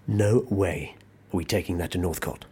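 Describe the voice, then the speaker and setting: An actor playing a skeptical, exasperated investigating agent in an audio drama, wry and doubting. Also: male voice, sits low, normal speed, background noise, natural voice. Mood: doubtful. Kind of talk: naturalistic audio drama acting.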